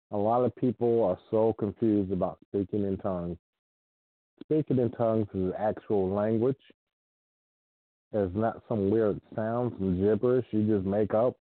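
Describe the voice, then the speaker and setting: A man's voice: lowish voice, no room sound. Man preaching over a tinny line. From Ohio, United States. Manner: earnest, unhurried, repeats himself. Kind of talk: informal sermon with scripture reading. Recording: dry tinny phone-like sound.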